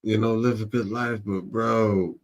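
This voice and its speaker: Deep-voiced slow-talking man streaming. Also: fairly even intonation. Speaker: livestream host. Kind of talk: casual reaction commentary. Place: home studio room.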